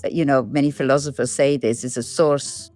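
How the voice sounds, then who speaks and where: A woman's voice: extremely low pitch, steady tone, normal speed, darkish tone, soft background music. A middle-aged woman from Brazil, a designer and filmmaker, answering interview questions in a reflective, thinking-aloud way. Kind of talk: casual interview talk.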